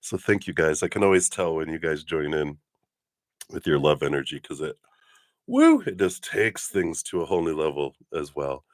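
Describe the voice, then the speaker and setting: A man with a low morning voice hosting a live spiritual reading stream, chatting casually in a giddy, effusive way. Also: sing-song, moderate pace, no room sound, studio quality. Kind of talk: casual livestream chat with meditation guidance.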